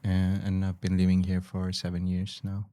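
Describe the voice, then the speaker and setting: A man from Bangladesh, speaking as an interview guest in a measured, hesitant, reflective way. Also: low-pitched, completely flat delivery, mellow. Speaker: podcast guest, photographer, educator, researcher.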